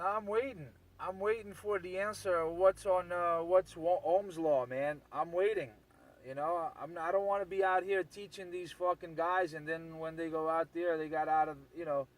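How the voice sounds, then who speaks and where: A man's voice: on the high side, low-quality recording. An insistent man, ranting casually and repetitively as a CB radio operator.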